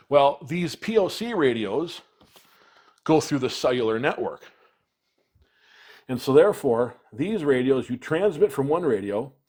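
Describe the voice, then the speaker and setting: A man's voice: medium pitch, a little mellow. American man casually explaining gear. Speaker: product reviewer.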